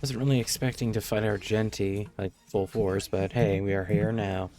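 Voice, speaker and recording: A man's voice: on the low side, fairly even intonation, moderate pace, a little mellow. A man streaming a game, reading dialogue aloud and commenting casually as he plays. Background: game music.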